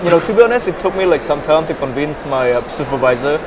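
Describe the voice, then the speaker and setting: Halting young man from Vietnam. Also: on the high side, lively intonation, noisy background. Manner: halting, many fillers and repeated words. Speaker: startup founder, interview guest. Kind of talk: casual interview answers.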